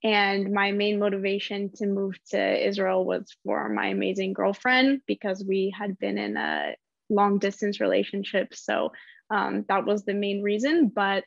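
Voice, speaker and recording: A woman's voice: mid-range voice, little pitch movement, a little slow, vocal fry, dry recording, muffled recording. A woman from Northern California introducing herself on a panel, chatty, with lots of ums and self-corrections. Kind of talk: personal introduction.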